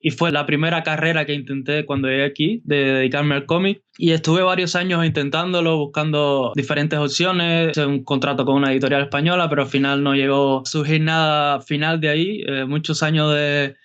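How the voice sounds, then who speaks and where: A man from Cuba with a Cuban Spanish accent, a guest illustrator answering interview questions in an unhurried way with fillers and restarts. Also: a little high, steady tone, fairly bright tone, clear, resonant tone, highs cut off. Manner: unhurried, many fillers and restarts, light laughs.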